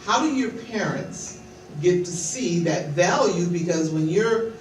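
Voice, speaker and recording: A woman's voice: lowish voice, animated, measured pace, darkish tone. A woman from Nigeria leading a group discussion, asking thoughtful questions. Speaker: presenter, facilitator. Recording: echoey room, far from mic, faint noise.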